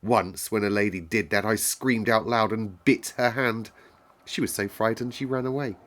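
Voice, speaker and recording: A man's voice: lowish voice, steady tone, moderate pace, fairly bright tone, lightly acted. A man voicing a sickly, haughty young boy from a storybook, brooding and earnest in manner. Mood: brooding, defiant. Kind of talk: storybook character reading.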